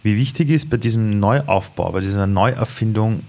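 A man hosts an interview in a fairly low voice. He speaks in an engaged way and keeps turning to address the audience directly. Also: normal speed, darkish tone. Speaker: interview host. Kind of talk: interview hosting.

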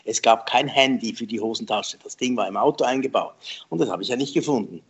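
A man in his sixties with a slightly husky voice answers interview questions at an easy middle pitch. He tells anecdotes about himself with a lively, self-mocking humor and laughs often. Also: fast, lo-fi sound. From Switzerland. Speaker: memory trainer, guest. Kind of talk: conversational storytelling interview.

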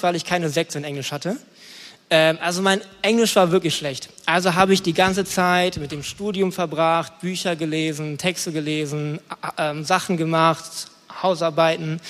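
A man's voice: on the high side, fairly bright tone. A young man giving an informal, colloquial sermon, often hesitating and groping for words. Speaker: preacher. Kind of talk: informal youth sermon with personal testimony. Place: large room, church service on stage. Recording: echoey hall, music behind. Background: music.

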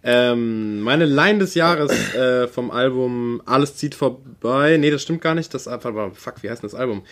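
A young man co-hosting a relaxed podcast, talking through his favourite rap of the year in loose, slangy speech. His voice sits at an ordinary middle pitch, and he often pauses on fillers while thinking aloud. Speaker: podcast co-host. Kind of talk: casual chat about rap music.

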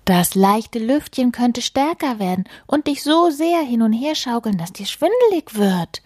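Casting: woman as a sly, fussing male mole for a children's storybook, insistent and cautioning, raised pitch, close dry sound. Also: sing-song, unhurried, darkish tone, husky, no echo, full character voice. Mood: fussy worrying. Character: bossy, fretful, sly male mole friend, storybook animal.

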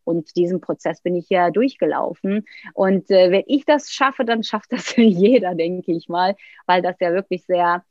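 A woman, telling stories as an interview guest, lively, with many fillers and restarts. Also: lowish voice, unhurried, no room sound. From Turkey. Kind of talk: casual interview talk. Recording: dull, dry sound.

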